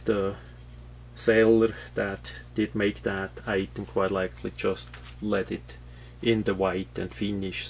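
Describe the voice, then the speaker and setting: A non-native English-speaking man from Switzerland with a Swiss accent, explaining a product off the cuff in a halting, matter-of-fact, rambling way. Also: on the low side. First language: not English. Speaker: product reviewer. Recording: clear background noise with hum.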